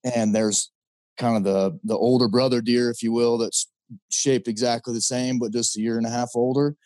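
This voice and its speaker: A man speaking as a podcast guest and hunting guide, telling stories in a relaxed, easygoing way. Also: mid-range voice, normal speed, no echo, low-quality recording. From Idaho, US. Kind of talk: casual interview talk.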